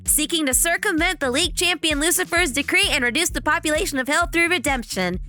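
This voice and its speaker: A woman's voice: high-pitched, sharp tone. A woman narrating a scripted video with a playful, wry delivery.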